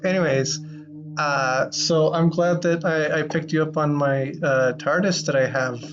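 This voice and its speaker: A man hosting a podcast in a casual, playful way, with rambling, halting delivery. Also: on the high side, steady tone. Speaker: podcast host. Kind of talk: casual chat with playful improvised sci-fi bits. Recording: slightly muffled audio with prominent music.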